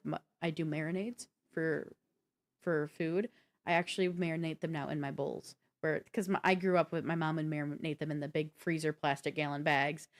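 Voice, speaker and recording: A woman co-hosting a gaming podcast, chatting informally in a rambling, anecdotal way. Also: deep voice. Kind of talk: casual chat.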